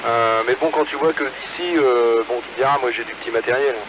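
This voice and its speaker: A man's voice: medium pitch, fairly quick. A man talking casually and colloquially as an amateur radio operator, with hesitations, over a two-way radio from a car. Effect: two-way radio transmission. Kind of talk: casual radio chat between hams. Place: in a car, mobile. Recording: noisy ham radio relay transmission. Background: radio and vehicle noise.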